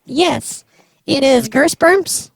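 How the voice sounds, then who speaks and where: A woman's voice: high voice, very slow, creaky, no room sound, studio quality, heavily acted. A woman playing a flippant, dismissive city official on an improvised prank call, blunt and nonchalant.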